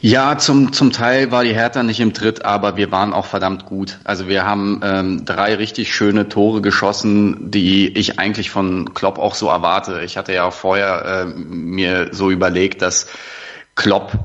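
Deep monotone guy on a podcast, dull lo-fi line. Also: unhurried. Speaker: podcast guest. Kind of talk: casual chat. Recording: dull lo-fi remote line in a high-ceilinged room.

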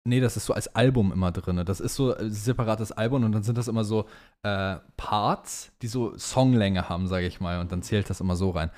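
A man's voice: fairly low pitch, a little fast, a little mellow. A young adult man co-hosting a podcast, chatting casually with plenty of youth slang and emphatic opinions. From German, partly raised in Dubai. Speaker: podcast co-host.

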